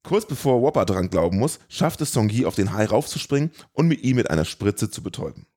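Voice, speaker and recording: A man's voice: lowish voice, very animated, fairly quick, a little mellow. A man reading out a scripted, comedic plot recap of a film.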